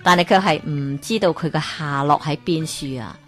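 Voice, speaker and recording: Lively Hong Kong woman telling folk tales. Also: sits low, moderate pace, soft background music. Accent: Hong Kong Cantonese. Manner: lively, asks listeners rhetorical questions. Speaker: storyteller. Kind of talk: Cantonese folk-tale storytelling.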